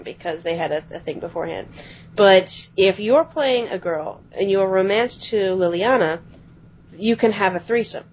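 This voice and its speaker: A woman co-hosting a podcast, chatting quickly about video games and often letting her phrases trail off. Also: mid-range voice, a little mellow, slight background noise. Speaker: podcast co-host. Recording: dull lo-fi podcast audio.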